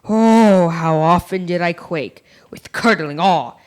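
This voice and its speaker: Pompous, spooked male schoolmaster played by a young female, lowish for a girl, ornate ghost-story delivery for radio drama. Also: slow. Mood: eerie, grandiose. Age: young teen. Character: Ichabod Crane, pompous superstitious schoolmaster telling ghost tales. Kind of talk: stage radio play acting.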